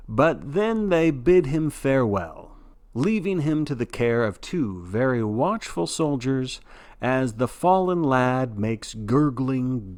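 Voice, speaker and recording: A man playing a jovial, showy sports broadcaster who calls grim events with blithe, folksy cheer in play-by-play style. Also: mid-range voice. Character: jovial male sports broadcaster of a dystopian death march.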